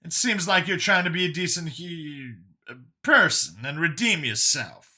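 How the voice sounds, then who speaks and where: A man's voice: a little high, expressive, moderate pace, bright, forceful tone, voice pushed up. A man voicing an earnest, wary but forgiving man in audiobook dialogue, speaking frankly and with emphasis. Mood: guarded, conceding.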